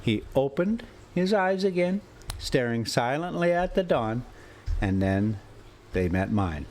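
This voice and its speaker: A man reading a classic adventure story aloud in deliberate, theatrical phrases broken into short clauses. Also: medium pitch, very animated, a little mellow. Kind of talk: dramatic audiobook-style narration of an adventure story. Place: inside a moving motorhome.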